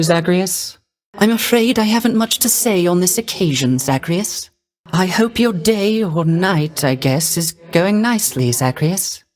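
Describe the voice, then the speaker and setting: A young, melancholy court musician of the underworld for a video game. His voice is strikingly high and airy for a man. He speaks softly and hesitantly, with wistful, theatrical courtesy. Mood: warm, grateful, then wistful, apologetic. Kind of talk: video game character voice acting.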